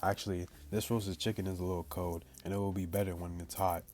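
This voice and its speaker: A young man hosting a gaming video, reading visual novel lines aloud in a casual, halting way. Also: deep voice, level tone, very noisy. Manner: halting, stumbling reader.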